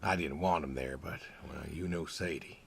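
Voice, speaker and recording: An actor playing a weary, wistful older American pig farmer and war veteran in a video game, talking in a slow, halting, reflective way. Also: male voice, rumbling low, vocal fry, breathy edge, heavily acted. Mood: wry, bitter. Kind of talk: understated naturalistic game acting.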